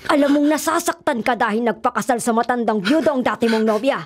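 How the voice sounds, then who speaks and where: A woman plays a snobbish, domineering Filipina mother from a radio soap. Her voice is very high. She scolds and gives orders with haughty disdain. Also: quick pace, natural voice. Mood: scornful, commanding. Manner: scolding, commanding. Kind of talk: Filipino radio drama acting.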